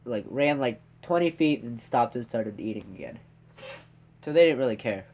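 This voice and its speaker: A man's voice: medium pitch, expressive, moderate pace, darkish tone. A teenage boy with a stuffed-up nose, rambling through a story with lots of fillers and tag questions. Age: teens. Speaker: podcast host. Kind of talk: casual storytelling.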